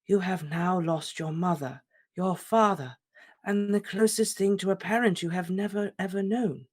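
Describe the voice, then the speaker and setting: A reader voicing an old male headmaster wizard, speaking in a calm, measured, gentle way. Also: mid-range voice, voice pushed up, close to an everyday voice. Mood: serene. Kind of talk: audiobook character reading.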